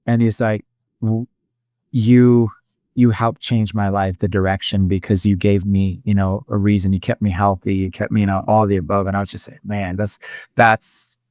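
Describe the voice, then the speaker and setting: A man's voice: lowish voice, little pitch movement, a little mellow, no echo. A man hosting a podcast, chatting casually with a guest and stumbling through fillers and restarts. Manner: halting, full of fillers and restarts. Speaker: podcast host. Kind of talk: casual interview chat.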